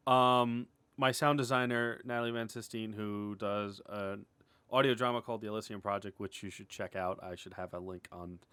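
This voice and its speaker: Casual, halting man in a dry room. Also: medium pitch, fairly bright tone, no echo. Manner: rambling, halting, self-interrupting, often chuckling and clearing throat; informal, mildly sweary, candid. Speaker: animator and web series creator. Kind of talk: casual update vlog, unscripted.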